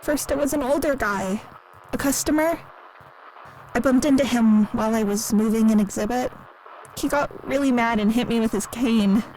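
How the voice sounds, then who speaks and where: An actress playing a timid, lonely, naive museum worker in an audio drama, speaking hesitantly and earnestly in a recorded interview. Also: female voice, on the high side, normal speed, natural voice, low-quality recording. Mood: timid, confiding. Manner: hesitant, soft-spoken, earnest. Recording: clearly audible background noise.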